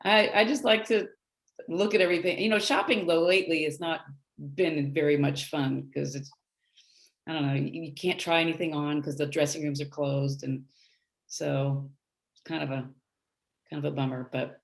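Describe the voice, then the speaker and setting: A woman's voice: low-pitched, steady tone, normal speed, creaky. A woman co-hosting, chatting casually and informally. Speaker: co-host and co-author. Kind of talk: casual chat.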